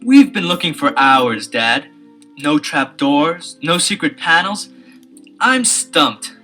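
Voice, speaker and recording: An actor playing an eager, excitable teenage brother detective in a 1970s children's audio adventure, with an earnest, questioning delivery. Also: male voice, fairly high pitch, slow pace, soft background music, exaggerated voice. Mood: puzzled.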